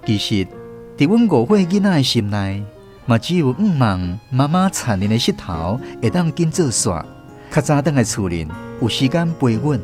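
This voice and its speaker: A man with a Taiwanese accent narrating a scripted children's radio story in an expressive storytelling manner. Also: medium pitch, moderate pace, soft background music.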